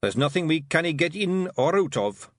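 Guy putting on a loud bossy scottish pixie boss, tiny fighter, pitched up high and bouncy, super dry sound. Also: lively intonation, normal speed, no room sound, lightly acted. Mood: blustering. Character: boisterous tiny male pixie clan leader.

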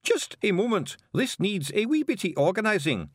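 A high-pitched, bossy little Scottish creature from a storybook. The voice blusters and scolds in a broad Scottish accent, leaping about in pitch and loud with irritation, stumbling as it tries to pass for a gentleman. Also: sing-song, normal speed, no echo, studio quality. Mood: grumbling, ordering.

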